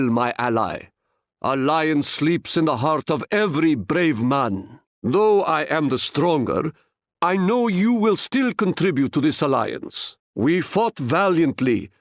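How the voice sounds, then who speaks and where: A male actor playing a proud, wise Ottoman sultan in a video game, speaking in a stately, formal and measured way. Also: a little high, no echo, clean studio sound. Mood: solemn, resolute. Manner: stately, formal, measured, proverb-laden delivery. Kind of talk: scripted video game character lines.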